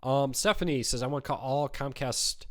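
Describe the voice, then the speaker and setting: A man's voice: mid-range voice, moderate pace. A man hosts a laid-back live Q&A, answering viewer questions in an easygoing, rambling way full of ums. His voice is weakened by a cold he is still fighting. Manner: relaxed, chatty, many ums. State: weak voice from a cold. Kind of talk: casual live Q&A.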